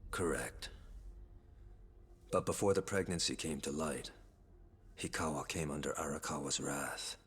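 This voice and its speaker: An actor playing a bitter, vengeful son of a crime family in a game cutscene, measured and contemptuous. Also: male voice, fairly bright tone, breathy edge, full character voice. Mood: cold, bitter. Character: bitter, vengeful, cold-hearted son of a ruined yakuza family. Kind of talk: dramatic video game cutscene monologue. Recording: rumbling background noise.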